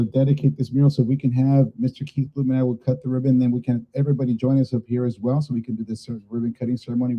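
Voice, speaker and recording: A hoarse man hosting a school ceremony in a casual, apologetic way with many fillers. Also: mid-range voice. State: hoarse, losing his voice. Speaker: master of ceremonies at a school event. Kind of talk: informal event hosting. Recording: tinny phone-like sound.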